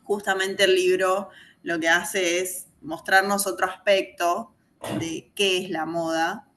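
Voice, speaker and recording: A woman's voice: fairly low pitch, fairly even intonation, slow, a bit bright. An Argentine woman moderating a book discussion on a video call, talking thoughtfully with many fillers. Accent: Argentine Spanish. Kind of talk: slow, thoughtful literary talk.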